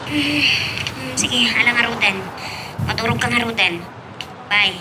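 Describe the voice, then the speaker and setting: A woman's voice: on the high side, measured pace, far from the mic, noisy background, close to an everyday voice. A woman playing a concerned, questioning mother figure in an Ilocano audio drama. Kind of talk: Ilocano radio-style drama acting.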